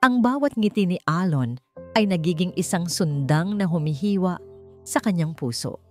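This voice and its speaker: A woman's voice: on the low side, animated, moderate pace, a little mellow, faint music behind. A woman narrating a scripted romance story in a dramatic storytelling style.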